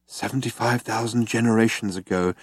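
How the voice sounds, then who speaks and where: A solemn man acting as a formal official in an audiobook. His voice is lowish and hushed, with a measured, reverent delivery. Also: fairly even intonation, slightly rough, dry recording. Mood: solemn awe. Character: solemn, awed, formal male official of an alien race. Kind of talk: audiobook character voice.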